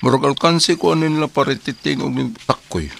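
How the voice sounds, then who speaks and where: A man's voice: on the high side, fairly quick, vocal fry, very noisy. A man playing an anxious, stubborn husband in a comedy drama, exclaiming animatedly with a Cebuano accent. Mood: worried, complaining.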